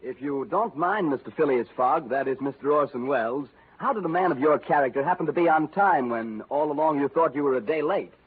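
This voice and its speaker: A man's voice: fairly high pitch, a little fast, hardly any pauses, slight background noise. A man from the United States announcing formally from a script in polished 1930s radio style. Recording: tinny 1930s radio, faint music.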